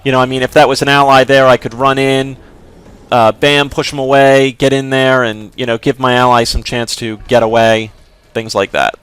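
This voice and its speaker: Male let's-play commentator, casual and explanatory, smooth resonant voice, mid pitch. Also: flat delivery, normal speed, a bit bright. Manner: casual, explanatory, frequent restarts. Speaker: gameplay commentator.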